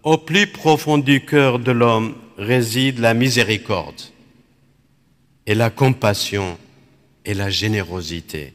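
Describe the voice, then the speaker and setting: Man, from Iraq, Aramaic first language, Dominican friar, very slow measured phrasing, long hall reverb. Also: medium pitch, fairly bright tone. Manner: measured, pausing between short phrases. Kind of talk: slow reflective spiritual talk.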